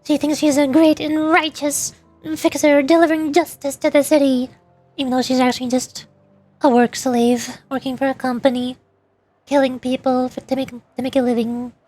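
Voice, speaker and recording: High-pitched girl streamer rambling about games, lots of ums, soft music on. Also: little pitch movement, normal speed. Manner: rambling, filler-heavy. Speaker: vtuber streamer. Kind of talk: casual game commentary. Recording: faint music behind.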